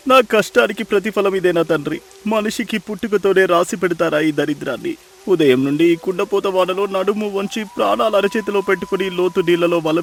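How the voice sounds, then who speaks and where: Anguished poor fisherman, acted by a man, high-pitched. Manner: anguished, lamenting. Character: poor, despairing fisherman husband, pleading with heaven. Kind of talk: dramatised moral story acting.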